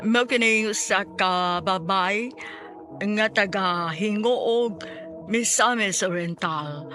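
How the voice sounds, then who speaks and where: A woman's voice: mid-range voice, slow, sharp tone, soft background music. A woman giving a dramatic scripted introduction as a radio drama announcer.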